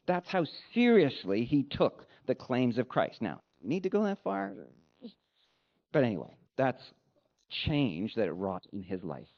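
A man preaching a sermon as a pastor, with an emphatic, measured rhetorical delivery. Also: medium pitch, wildly swooping pitch, lo-fi sound. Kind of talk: sermon with read-out quotations.

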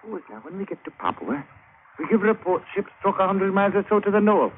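An actor playing a scheming, commanding first mate who talks in a conspiratorial, insinuating way in a 1940s radio drama. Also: male voice, sits high, big pitch swings, fast. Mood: sly, conspiratorial. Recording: tinny vintage radio with faint noise.

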